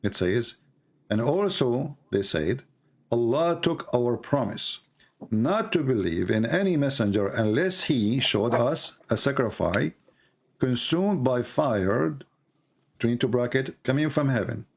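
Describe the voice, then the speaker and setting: Gravelly man, Arabic first language. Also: medium pitch, animated, measured pace. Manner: earnest, repeats himself, broken English. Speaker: host of a live debate show. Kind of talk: casual debate call.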